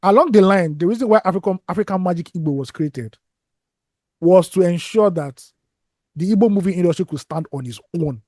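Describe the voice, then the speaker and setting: A man chats on a podcast in a fairly high but mellow voice, circling through his thoughts with restarts. His phrases often trail off to a low mutter. The recording is dry and close. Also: dry recording. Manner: discursive, restarts, drops to a mutter at phrase ends. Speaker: podcast co-host. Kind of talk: casual podcast chat.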